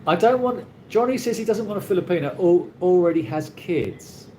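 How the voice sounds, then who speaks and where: A British man hosting a casual live chat, reading out viewer comments and answering in a rambling, halting way with many restarts. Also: high-pitched, lively intonation, mellow. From Britain. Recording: noisy ambient background.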